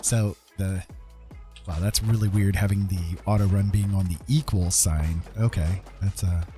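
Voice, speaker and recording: A man's voice: low voice, steady tone, measured pace, warm tone, soft background music. A man giving casual live gameplay commentary as a streamer, chatty and thinking aloud. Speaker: game streamer, host.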